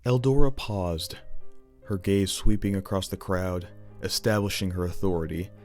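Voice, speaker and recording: A man narrating a scripted fantasy audio drama as a theatrical, deliberate storyteller. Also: low voice, a little mellow, soft background music.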